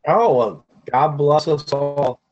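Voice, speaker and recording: A man's voice: on the high side, slow pace, darkish tone, faint music behind. A man co-hosting a podcast, chatting through local sports news, scores and schedules. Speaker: podcast co-host. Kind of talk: casual sports news rundown. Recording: dull lo-fi sound.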